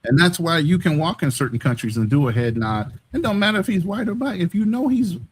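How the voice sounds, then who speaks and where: American male, slightly high voice, colloquial debater who argues by asking questions. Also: big pitch swings, brisk, breathy edge. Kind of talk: casual live discussion.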